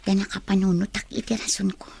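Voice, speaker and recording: A woman's voice: medium pitch, little pitch movement, fast talker, creaky, a little mellow, husky. A woman playing a shy, pensive young female student in an Ilocano radio drama, hesitant and evasive.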